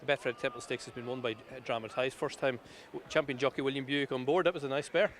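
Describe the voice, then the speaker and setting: Male sports interviewer: rapid, bright voice, chatty outdoor post-race style. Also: medium pitch, fairly even intonation. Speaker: racing TV interviewer. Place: outdoors at a racecourse.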